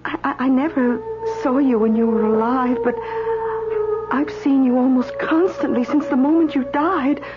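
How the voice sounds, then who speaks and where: Woman playing a high-voiced, earnest, gentle woman. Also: moderate pace, over music, lightly acted. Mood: tearful pleading. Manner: hesitant, repeating words, pleading. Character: gentle, earnest woman keeping watch over a dead man. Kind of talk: radio drama acting. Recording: muffled, noisy old radio broadcast.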